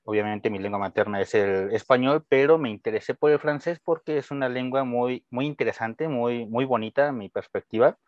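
A man's voice: mid-range voice, fairly quick, hardly any pauses, a little mellow, no echo. A young Mexican man with a Mexican Spanish accent, a guest teacher answering casually and friendly, with hesitations and restarts. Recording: dull lo-fi dry sound.

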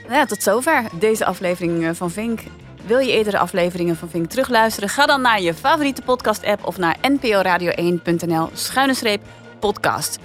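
A woman's voice: mid-range voice, animated, brisk. A woman with a Netherlands Dutch accent, hosting a podcast and chatting casually as she reviews. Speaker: podcast host. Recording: music bed behind the voice.

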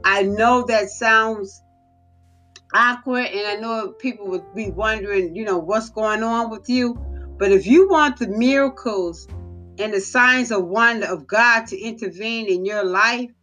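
A woman hosting a devotional podcast, giving an informal sermon in an earnest, exhorting way, repeating phrases for emphasis, her voice slightly hoarse. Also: fairly high pitch, unhurried, fairly bright tone, soft background music.

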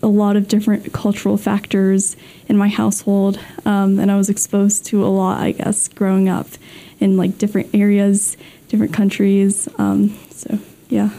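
A woman's voice: mid-range voice, monotone, moderate pace, creaky, mellow. A teenage girl chatting about her family background with many fillers. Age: teens. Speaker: student interviewee.

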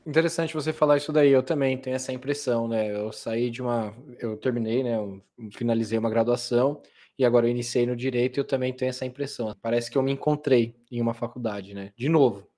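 A man's voice: medium pitch, steady tone, quick pace, dark tone. A man hosting a podcast, asking friendly questions in a conversational way with frequent tag questions. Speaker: podcast host. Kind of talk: conversational interviewing.

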